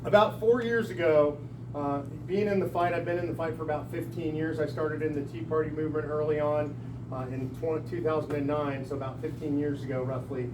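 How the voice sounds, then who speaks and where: A man giving a rousing, folksy talk to a packed town hall, projecting his voice with emphatic, loud delivery. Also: fairly high pitch, steady tone, fairly quick, noisy background. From lives in Texas. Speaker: political speaker at a town hall. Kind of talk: rousing public speech. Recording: echoey hall recorded from a distance.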